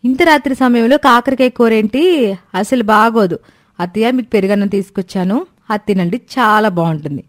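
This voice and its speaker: A woman's voice: a little high, normal speed, no room sound, voice pushed up, lightly acted. A greedy young daughter-in-law, voiced by a woman, gushes with calculated devotion in cartoon-story style. The voice sits close and dry, with no room around it. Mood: gushing, eager. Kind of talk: Telugu moral cartoon story voice acting.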